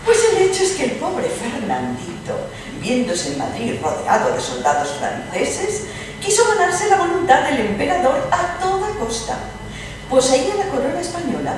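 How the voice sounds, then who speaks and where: A woman playing a gossipy, mocking princess in a dramatized radio-play reading, telling stories with theatrical irony. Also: a little high. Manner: theatrical, playfully ironic storytelling. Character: lively gossipy princess, Russian ambassador's wife, mocking. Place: live stage reading in a hall. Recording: distant roomy live recording, clear noise.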